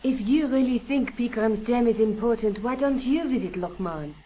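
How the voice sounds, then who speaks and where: A woman's voice: a little high, normal speed, dark tone, a little hiss or hum, lightly acted. An actress playing a self-assured female journalist in an adventure game, speaking in a dry, matter-of-fact way. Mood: businesslike. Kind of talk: scripted adventure game dialogue. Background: game music.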